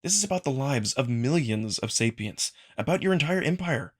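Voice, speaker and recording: A man's voice: medium pitch, expressive, moderate pace, pitched above the performer's own voice, lightly acted. A man playing a frank young man, a loyal but exasperated friend, blunt and impassioned as he urges someone on.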